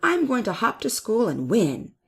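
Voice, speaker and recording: Lady doing a braggy little boy hare for a kids story, perky higher voice, bit creaky. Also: wildly swooping pitch, moderate pace, fairly bright tone, heavily acted. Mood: smug. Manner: bragging, exclamatory. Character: boastful young male hare, eager and fast-talking. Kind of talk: children's storybook character voice.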